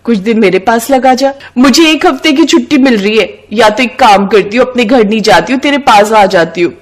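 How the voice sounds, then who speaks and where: A woman's voice: a little high, lively intonation, brisk. A woman voicing a cunning, bossy young city woman who pushes and persuades her friend in a cartoon moral story. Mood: excited. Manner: pushy, scolding, persuasive.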